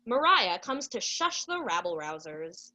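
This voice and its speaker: A woman's voice: fairly low pitch, big pitch swings, measured pace, sharp tone. A young woman narrating a radio play from a script, introducing scenes and characters. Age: young adult.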